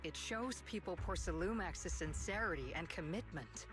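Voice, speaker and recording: An actress playing a composed young advisor in a video game, speaking calmly and articulately. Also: female voice, lowish voice, normal speed, soft background music, close to an everyday voice. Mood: calm persuasion. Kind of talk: scripted video game dialogue.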